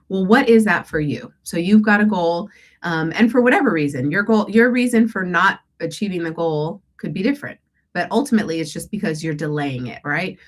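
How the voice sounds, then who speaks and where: A woman coaching listeners on goals and breathing, slightly stuffy from a cold, rambling and self-correcting and often asking "right?". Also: deep voice. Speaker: declutter coach hosting a call-in show. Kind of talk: casual coaching talk with breathing guidance.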